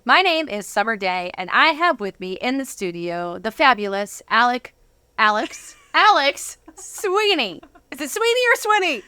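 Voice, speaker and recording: A woman hosting a casual studio interview in a bubbly, excitable, joking manner. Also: on the high side, measured pace, clean tone, no echo. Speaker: interview host.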